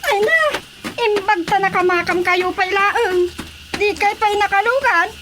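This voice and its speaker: A woman's voice: extremely high pitch, measured pace, noisy background. A woman with an Ilocano accent acting a pleading, chatty village mother in a radio drama comedy.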